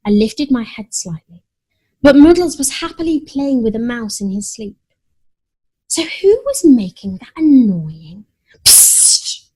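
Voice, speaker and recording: Prim, dramatic pet cat narrating a children's tale, played by a woman; haughty, very animated, breathy edge. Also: on the high side, slow pace, full character voice, low-quality recording. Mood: irritated. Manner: expressive, haughty, indignant. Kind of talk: first-person children's story narration.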